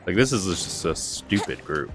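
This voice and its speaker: A man's voice: low voice, big pitch swings, moderate pace. A man giving casual gameplay commentary as the host of a gaming video. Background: game music.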